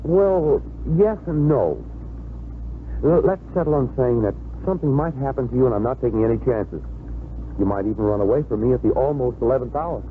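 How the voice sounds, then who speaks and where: A man's voice: medium pitch, lively intonation, brisk, lightly acted. An American man playing a confident, wry, teasing young fiancé in 1950s radio drama style. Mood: teasing, insistent. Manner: wry, bantering. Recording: muffled vintage radio recording, faint hiss.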